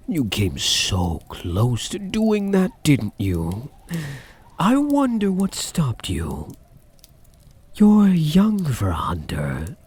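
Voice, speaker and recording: Vampire count played by a man, ASMR roleplay: lowish, swooping, soft-spoken purr, seductive, mocking, possessive, breathy. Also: exaggerated voice. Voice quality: husky, breathy. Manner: slow, teasing, mock-sympathetic purr.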